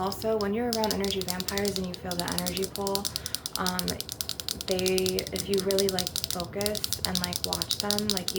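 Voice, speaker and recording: Low, creaky-voiced woman rambling over loud tapping. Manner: informal, meandering, frequent "like" and "you know". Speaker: ASMR host and spiritual healer. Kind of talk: rambling casual ASMR monologue. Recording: loud clicking and tapping noise throughout. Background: crystal and glass tapping.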